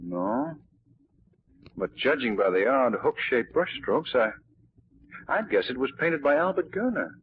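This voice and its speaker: A man's voice: big pitch swings, unhurried, long pauses, exaggerated voice. An actor playing a cultured, thoughtful young man of wealth in a 1940s radio drama. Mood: thoughtful, explanatory. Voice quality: low, husky. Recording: muffled, phone-like 1940s radio recording.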